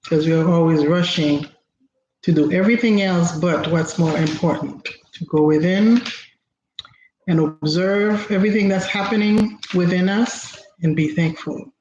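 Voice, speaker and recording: A woman from Haiti whose first language is Haitian Creole, giving an inspirational talk as host, slow and reflective with frequent pauses. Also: on the low side, darkish tone. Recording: roomy, dull lo-fi recording with faint noise.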